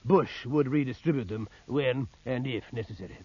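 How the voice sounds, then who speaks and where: A man's voice: medium pitch, normal speed, dark tone, slightly rough, close to an everyday voice. An actor playing a British naval officer who narrates his memories in grave, literary 1950s radio drama style. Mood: grave, vivid. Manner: literary, grave, precise storytelling. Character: male naval officer recalling battle as narrator. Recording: muffled vintage radio recording.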